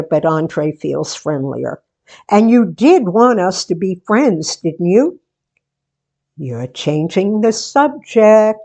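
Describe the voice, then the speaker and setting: Female, unhurried and theatrical, medium pitch, for a sentimental, playful daughter in old-time radio drama acted out by a woman. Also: a little mellow, exaggerated voice. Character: sentimental daughter, book-show hostess, playfully resisting marriage. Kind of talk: 1940s radio theatre monologue acting.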